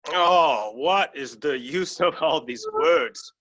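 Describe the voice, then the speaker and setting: A bright, swooping, mid-pitched voice of an actor playing an anxious servant. The delivery is fussy, deferential and flustered, full of stammered pleas for a stage comedy. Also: expressive, takes it slowly, muffled recording. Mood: coaxing, pleading.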